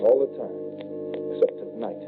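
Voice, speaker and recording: Somber undead soldier son, tinny old radio. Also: male voice, mid-range voice, big pitch swings, normal speed, gravelly, music in the background. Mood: haunted, somber. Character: undead soldier son turned werewolf, grave and regretful. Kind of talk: 1940s radio horror acting. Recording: noisy, tinny old-time radio recording.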